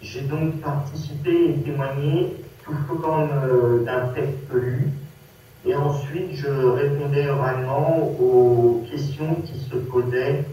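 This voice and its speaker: A man with a Parisian French accent introduces himself to a group in a hesitant, halting and apologetic way. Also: mid-range voice, fairly even intonation, dark tone. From Paris, France. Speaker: workshop speaker, peer research assistant. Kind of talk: personal testimony to a group. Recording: echoey, distant conference-call sound with noise.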